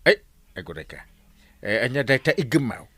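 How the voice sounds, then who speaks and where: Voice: medium pitch, sing-song, moderate pace, fairly bright tone, no room sound. A sleepy village captain played by an actor in a comedy radio drama. The voice leaps wildly up and down as it stammers and whines lazy excuses, recorded close and dry. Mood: lazy, complaining.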